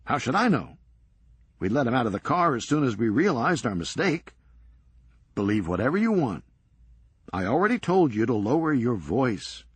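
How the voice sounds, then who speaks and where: A man voicing a cool-headed, reckless ringleader in a crime story, brisk and unbothered. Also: medium pitch, big pitch swings, husky, dry recording, pitched above the performer's own voice. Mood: breezy. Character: unflappable, cheerfully reckless male ringleader.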